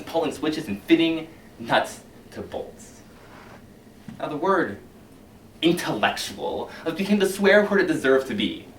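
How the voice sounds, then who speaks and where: A man performing a cynical middle-aged fire chief in a competition monologue, lecturing his firemen with sarcasm and swinging between loud and hushed delivery. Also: medium pitch. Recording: echoey hall heard from far off, faint hiss.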